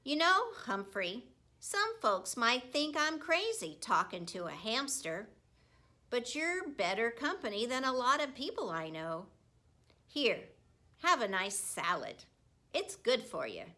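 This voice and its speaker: A woman acts out a friendly, big-hearted school janitor from a storybook. He is chummy and folksy, full of exclamations, in a bright voice pitched fairly high for the part. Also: very animated, slow pace, full character voice. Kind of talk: children's book read aloud.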